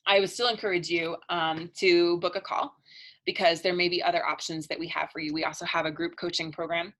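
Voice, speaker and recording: A woman marriage coach giving a chatty, upbeat pitch in a live online webinar, laughing between points and losing her voice. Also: steady tone, fairly quick, a bit bright.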